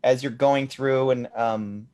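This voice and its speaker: A man with a deep voice co-hosting a podcast in casual chat, hesitant and full of ums and likes. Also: steady tone, takes it slowly, a little mellow, clear, resonant tone. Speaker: podcast co-host.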